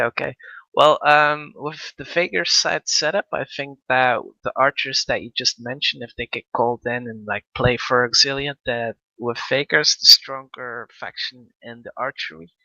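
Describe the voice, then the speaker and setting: A man's voice: mid-range voice, moderate pace, vocal fry, sharp tone, dry recording, rough recording. A man commentating a live online game match in a casual, chatty way, with plenty of filler words as he thinks aloud. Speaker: esports commentator.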